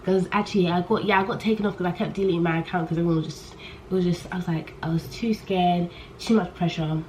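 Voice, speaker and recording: Loud rambling young woman. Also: lowish voice, fairly even intonation, quick pace. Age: young adult. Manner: rambling and candid, trails off and restarts, loud on purpose. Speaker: vlogger. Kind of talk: casual chat to camera. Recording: poor audio with faint background noise.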